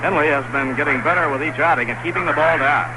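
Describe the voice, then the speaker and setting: A man calling baseball play-by-play on the radio in the 1960s, brisk and easygoing. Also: on the high side. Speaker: play-by-play announcer. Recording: noisy vintage radio broadcast.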